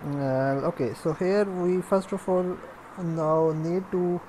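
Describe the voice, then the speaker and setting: A woman narrating a coding tutorial step by step, in halting, piecemeal phrases as she works. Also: on the low side, fairly even intonation, dark tone, slight background noise, low-quality recording. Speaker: programming tutorial presenter.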